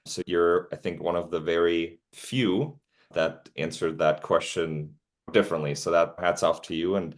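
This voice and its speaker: A man with a very deep voice hosts a podcast interview. He asks his questions in a friendly, encouraging way and lets his guest talk. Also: unhurried. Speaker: podcast host.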